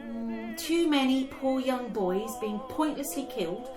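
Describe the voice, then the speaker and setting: A woman's voice: fairly high pitch, measured pace, echoey room, music in the background, lightly acted. A woman playing a troubled, sorrowful woman on the wartime home front in a radio play. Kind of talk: dramatic dialogue in an audio play.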